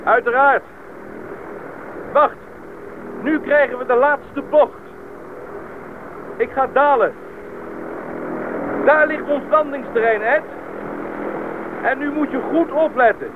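An actor in a radio play playing a capable, decisive pilot who gives brisk, matter-of-fact instructions. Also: male voice, extremely high pitch. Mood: focused. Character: capable, decisive bush pilot and leader of an expedition. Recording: tinny, band-limited old radio play, engine noise.

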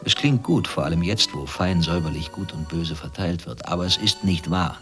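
A man playing a smug, menacing former secret-police interrogator in a radio play, insinuating and deliberate. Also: low voice, fairly bright tone, faint music behind. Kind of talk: German radio play acting.

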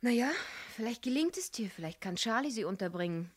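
A woman's voice: on the high side, animated, fairly quick, dry recording. An actress playing an earnest, determined young woman in a German radio crime drama, speaking intimately and insistently. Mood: earnest pleading.

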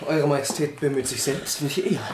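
An actor playing an ardent, witty young crown prince in a radio drama, with a courtly, ironic and very husky delivery. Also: male voice, on the high side, fairly even intonation, fairly quick, noisy background, lightly acted. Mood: eager. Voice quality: very husky, breathy. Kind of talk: German radio drama acting.

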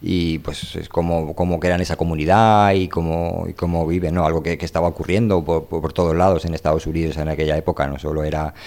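Very deep-voiced man chatting about books. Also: level tone, a little mellow. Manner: halting, rambling, informal, trailing into filler tags. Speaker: radio show host. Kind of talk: casual book discussion.

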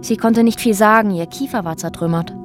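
A woman's voice: mid-range voice, a little fast, over music, close to an everyday voice. An actress as a sober woman recounting an ordeal. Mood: grave, restrained. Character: woman quietly recounting a brutal police ordeal, former activist. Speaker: radio drama actor. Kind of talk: German radio drama dialogue and narration.

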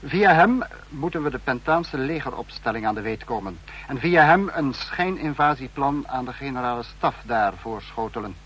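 A husky, brooding spymaster. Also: male voice, mid-range voice, animated, fairly bright tone, rough recording. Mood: cold, brooding. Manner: measured, brooding, commanding. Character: cold calculating male state security chief. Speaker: spymaster in a radio play. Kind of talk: vintage radio drama acting.

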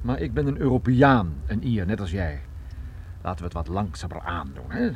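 A man acting a cool, sardonic Irish private detective in a radio drama, needling and unhurried. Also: low voice, a little hiss or hum, natural voice. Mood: coolly stalling.

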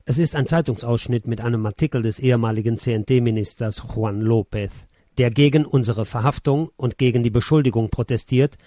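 A man reading a first-person memoir as scripted narration, in a measured, sober way. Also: medium pitch, dark tone, no echo, studio quality.

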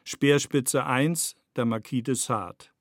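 A man reading a scripted essay as a radio narrator, with measured, rhetorical literary phrasing. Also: mid-range voice, no echo, studio quality. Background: music with guitar.

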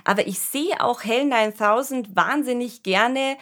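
A woman's voice: a little high, unhurried, fairly bright tone. A woman co-hosting a podcast, explaining things in an earnest, conversational way. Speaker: podcast co-host, expert commentator. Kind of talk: conversational discussion.

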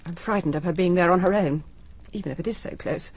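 An actress playing a protective middle-aged mother who speaks briskly and wryly, with worry underneath. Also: on the low side, husky. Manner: brisk, wry, worried. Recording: dull lo-fi archive radio.

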